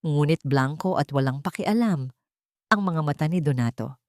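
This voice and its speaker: A Filipino woman narrates a dramatic audiobook story. Her voice sits fairly low, and the recording is dry and close, with little room sound. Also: lively intonation, moderate pace, a little mellow, no room sound.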